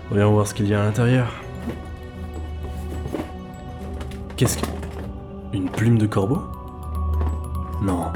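A man's voice: on the low side, natural voice. An actor playing a disillusioned, wistful salesman and former gamer in an audio drama, speaking in an introspective, brooding way. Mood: puzzled, uneasy. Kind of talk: French audio fiction, dramatic monologue and narration.

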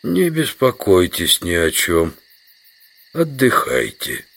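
An actor playing a gruff old village man in an audio drama, with a husky, gravelly voice and drawn-out delivery. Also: low voice, wildly swooping pitch, fairly bright tone, exaggerated voice. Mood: reassuring.

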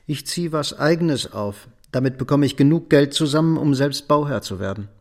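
A man's voice: on the high side, animated, normal speed. An actor playing a weary, resolute middle-aged man in a German radio drama. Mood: firm, accusing.

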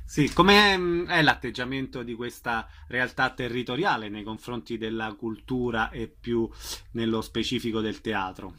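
A man's voice: mid-range voice, normal speed, few pauses, sharp tone. A man hosting a radio programme, putting questions to a guest and giving show information. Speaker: radio interviewer and host. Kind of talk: radio interview and announcements. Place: radio broadcast.

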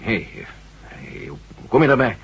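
A brisk army general. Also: male voice, mid-range voice, big pitch swings, husky, exaggerated voice. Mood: reluctant. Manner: brisk, authoritative. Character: General Stevens, brisk military commander. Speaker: general chairing a meeting. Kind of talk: 1970s radio drama acting. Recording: dull lo-fi radio recording.

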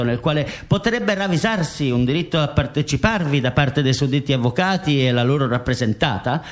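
A man playing a formal, measured narrator who reads out a case in a scripted documentary radio drama. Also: high-pitched. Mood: formal, official. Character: formal male court reader and case narrator.

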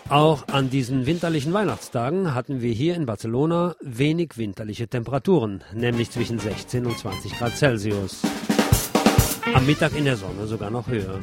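A man's voice: medium pitch, normal speed. Male news presenter over prominent music. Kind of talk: scripted news narration.

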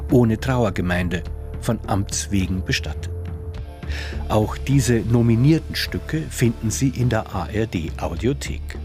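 A man's voice: lowish voice, darkish tone. A man presenting radio plays in scripted narration.

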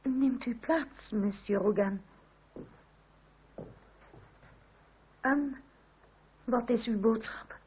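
A woman's voice: a little high, takes it slowly. An actress playing a haughty, middle-aged French royalist noblewoman in a Dutch radio drama, speaking in a formal, imperious, genteel manner. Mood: formal, surprised. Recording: tinny, band-limited, hissy old radio recording.

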